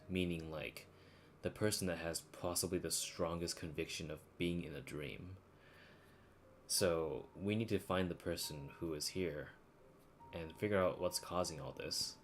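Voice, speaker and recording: A man's voice: sits low, slight background noise. A young man giving casual live commentary as he streams a video game. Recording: game music behind voice.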